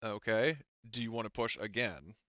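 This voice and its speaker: A man's voice: fairly low pitch, moderate pace, a bit bright, dry recording, highs cut off. A man talking casually and informally as game master of an online tabletop roleplaying game, thinking through the rules aloud.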